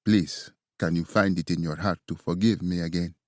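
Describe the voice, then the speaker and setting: A man voicing a low, earnest assassin lover with an Italian accent. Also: fairly even intonation, moderate pace, no room sound, clean studio sound, lightly acted. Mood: remorseful. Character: remorseful, protective young male assassin lover.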